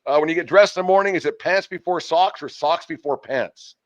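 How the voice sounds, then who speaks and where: A man's voice: on the high side, fairly quick. An older man hosting a casual sports podcast in a folksy, rambling, talkative way. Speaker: host of a baseball team podcast. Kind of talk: casual sports interview and chat. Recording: dull, band-limited sound.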